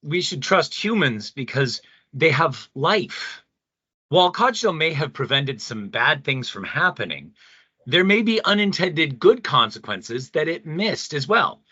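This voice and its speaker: A man's voice: on the high side, very animated, unhurried, sharp tone, breathy edge, no room sound, highs cut off, exaggerated voice. A man playing an earnest, mystical pastor who argues in a solemn, preachy way in an audio play. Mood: earnest, reverent. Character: earnest, mystical male pastor and spiritual leader. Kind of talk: radio play debate acting.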